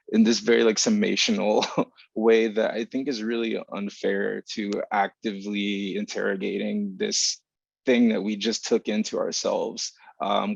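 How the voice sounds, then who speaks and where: A man with a very creaky, low voice and a fairly flat delivery. He talks thoughtfully and deliberately as a panelist, pausing often. The sound is dry and a little dull. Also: no echo. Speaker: panelist, writer. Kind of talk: reflective discussion at a virtual panel. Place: online video call.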